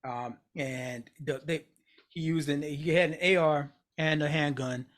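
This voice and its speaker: A man with a somewhat high-pitched voice co-hosts a casual conversation. He talks bluntly and profanely, retelling events with animated disbelief. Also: a little slow. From United States. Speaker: podcast co-host. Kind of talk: casual chat.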